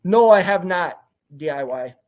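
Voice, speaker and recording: A man's voice: sits high, animated, measured pace, a little mellow. A man in his forties, a truck owner-operator, chatting candidly on a livestream in a rambling way and answering viewer comments. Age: 40s. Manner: rambling, candid, repeats "you know what I mean". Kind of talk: casual livestream chat reading comments.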